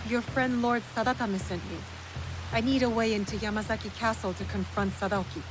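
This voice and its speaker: A woman's voice: a little high, fairly even intonation, brisk, music in the background, close to an everyday voice, rough recording. An actress playing a determined young female shinobi in a video game, speaking in a terse, direct and serious manner. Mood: resolute. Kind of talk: video game cutscene dialogue.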